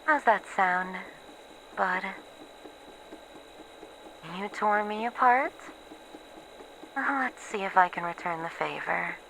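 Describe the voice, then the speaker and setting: A woman playing a despairing, bitter researcher in a horror roleplay log, halting and wry as she faces her doom. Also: mid-range voice, creaky, sharp tone, exaggerated voice, rough recording. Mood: grimly vengeful. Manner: halting, wry, self-mocking, sighing. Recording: faint background noise.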